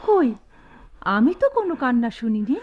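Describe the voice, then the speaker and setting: Concerned little sister, husky, highish voice, muffled old radio play. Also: female voice, very animated, moderate pace. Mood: anxious concern. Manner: pleading, concerned. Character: tyrant's worried, soothing younger sister. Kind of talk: radio drama acting.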